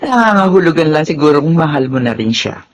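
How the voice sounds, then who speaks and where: Husky, lilting Filipina mother. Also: deep voice, sing-song, quick pace. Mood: teasing concern. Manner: coaxing, reflective. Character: gentle, knowing middle-aged mother advising her child. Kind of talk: Tagalog radio drama acting.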